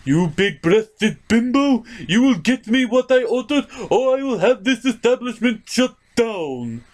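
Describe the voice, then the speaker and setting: A man's voice: very high voice, sing-song, unhurried, voice pushed up, exaggerated voice. A man voicing a pompous, demanding frog prince puppet who is easily offended, in an imperious comic style. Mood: haughty outrage. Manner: imperious, haughty, indignant. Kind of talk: comic puppet show acting.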